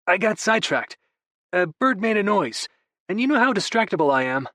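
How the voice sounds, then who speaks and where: A bossy young brother who lectures with pointed, know-it-all confidence, as a male narrator puts him on. His voice is lifted well above the reader's own pitch. It is read dry and close for an audiobook. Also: sing-song, moderate pace, sharp tone, no room sound, exaggerated voice. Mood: lecturing.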